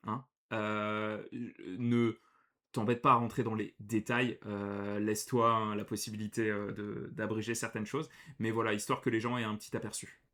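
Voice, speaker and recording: A man's voice: on the low side, little pitch movement, moderate pace, sharp tone. A man hosting a live stream, chatting casually, greeting viewers and reading their messages aloud.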